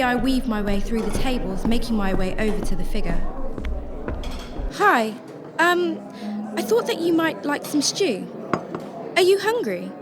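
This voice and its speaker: Actress doing a kind troubled shelter volunteer in a radio play, highish lively voice, music behind. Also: female voice, distant mic. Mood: warm, tentative. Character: compassionate, troubled woman volunteering at a homeless shelter. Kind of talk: first-person radio drama narration and dialogue. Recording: roomy sound with music behind.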